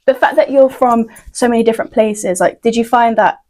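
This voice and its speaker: A woman's voice: on the high side, a little fast, warm tone. A woman hosting a student radio podcast, asking questions casually with many fillers and encouraging murmurs. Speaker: podcast host.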